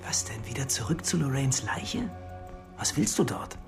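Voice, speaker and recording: A man's voice: mid-range voice, moderate pace, vocal fry, close to an everyday voice. An actor playing a nervous, exasperated man, a police colleague, questioning in an agitated way in a radio crime drama. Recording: music behind the voice.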